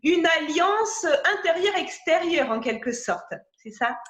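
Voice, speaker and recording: A woman interviews a guest over a video call, her voice high and bright in pitch. She talks in a chatty, hesitant way, full of fillers and restarts. The room around her rings with noticeable echo. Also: expressive, unhurried. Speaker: podcast host interviewer.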